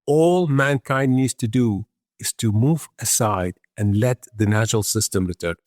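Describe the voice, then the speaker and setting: A man's voice: medium pitch, measured pace, a little mellow, dry recording, clean studio sound. Man from Iraq explaining, closely recorded. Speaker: guest expert, conservation organization founder. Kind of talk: explanatory interview conversation.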